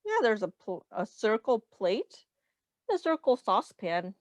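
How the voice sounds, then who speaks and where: A woman's voice: mid-range voice, sing-song, measured pace, dry recording, highs cut off. A woman reading a picture book aloud as a teacher, explaining simply to young children and asking them questions. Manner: simple instructive phrasing aimed at young children.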